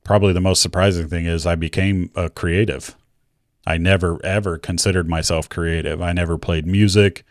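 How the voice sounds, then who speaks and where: A man's voice: low voice, steady tone, moderate pace, no room sound, clean studio sound. A middle-aged American man narrating his own personal story to viewers in a candid, reflective way. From Georgia, United States. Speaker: video creator telling his own story.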